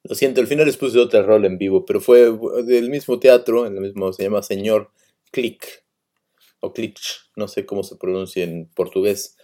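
A man's voice: lowish voice, steady tone, normal speed, warm tone. A man hosting a radio show in casual, rambling talk, full of hesitations and fillers. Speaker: radio host.

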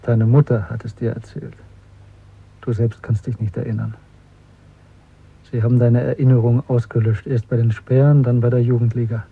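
A man's voice: mid-range voice, level tone, moderate pace, vocal fry, pauses often, husky. An actor playing a bitter, haunted male dissident in a German radio drama, speaking earnestly and insistently. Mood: guarded, insistent. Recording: muffled, narrow phone-like sound.

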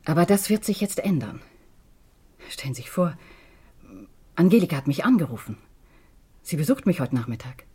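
A woman's voice: deep voice, very animated, normal speed, pauses often, dark tone, husky, full character voice. An actress playing a middle-aged divorced mother in a German radio crime drama, devoted to her children and bitter about her past. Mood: moved, hopeful.

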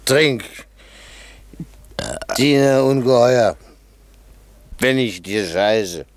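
A man's voice: medium pitch, extremely slow. An actor playing a drunken, bossy, mocking man in a 1960s German radio play, boisterous and commanding.

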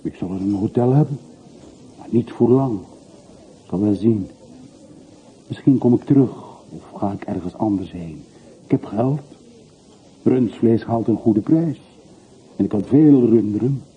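A man's voice: fairly low pitch, breathy edge, heavily acted. A man acting in a radio play as a wistful, well-off middle-aged man who speaks in short, brooding, confessional sentences. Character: wistful middle-aged man of forty-three, wealthy returned emigrant. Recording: muffled phone-like old radio recording, hissy.

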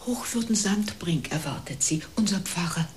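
Woman doing a quiet breathy church reception lady in a radio play, very proper, slight rumble behind. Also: animated, normal speed, close to an everyday voice. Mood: hushed, formal. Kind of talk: radio crime drama acting.

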